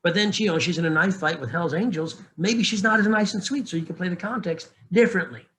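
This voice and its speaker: A man's voice: high voice, fast talker, a bit bright, slight background noise. A middle-aged man, a narration coach, talking candidly in casual panel chat, joking and often restarting his sentences.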